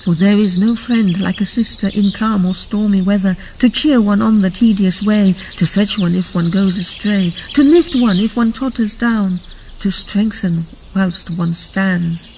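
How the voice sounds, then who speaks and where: A woman's voice: mid-range voice, measured pace, mellow, a little hiss or hum, close to an everyday voice. A woman playing an earnest, devoted sister in a dramatic reading of a Victorian fairy-tale poem. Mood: earnest, uplifting.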